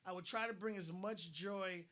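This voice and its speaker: A man's voice: high-pitched, steady tone, normal speed, a bit bright. A man co-hosting a casual online discussion, giving blunt advice and often repeating words as he starts a thought.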